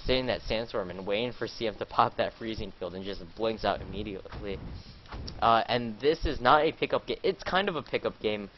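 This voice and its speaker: A man casting a video game live, sounding nasal and a little stuffed up. He rambles with lots of fillers and gets louder during the fights. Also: lowish voice, brisk, highs cut off, slight background noise. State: congested, a little sick. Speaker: esports caster.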